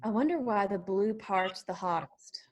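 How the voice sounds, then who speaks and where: A woman's voice: medium pitch, lively intonation, unhurried. A woman playing a guarded, wistful, plainspoken young woman in a screenplay table read.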